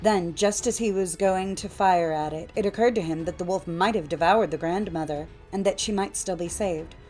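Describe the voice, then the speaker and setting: A woman reads a fairytale as an audiobook narrator. Her telling is measured and expressive, with faint music underneath. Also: fairly low pitch, rough recording.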